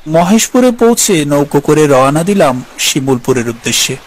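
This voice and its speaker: A man's voice: on the high side, very animated, normal speed, poor audio. A man narrating a scripted dramatic story in the first person. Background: background music.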